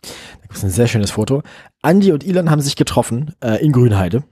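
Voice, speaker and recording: Male podcast co-host, husky edge, chatty with ironic quick comebacks. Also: medium pitch, sing-song, warm tone. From not from Berlin. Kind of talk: casual news chat.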